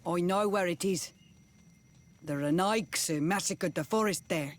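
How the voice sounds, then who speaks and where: An actor playing an authoritative, guarded clan leader in a video game, speaking in a terse, stately way. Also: male voice, sits high, unhurried. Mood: guarded, commanding. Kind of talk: scripted video game acting.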